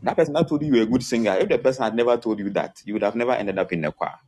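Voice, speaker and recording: A man's voice: mid-range voice, very fast, a little mellow, slightly rough. A man calling in to a live talk show, explaining his points one by one and often checking that listeners follow. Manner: methodical, numbers his points and checks understanding. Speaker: caller giving input. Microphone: remote call-in line.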